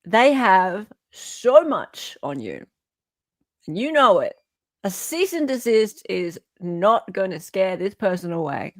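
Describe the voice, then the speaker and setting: Australian female livestream host, mid-pitched, casual but emphatic, repeats key phrases, close dry sound. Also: very animated, takes it slowly, no echo. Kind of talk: casual live chat commentary.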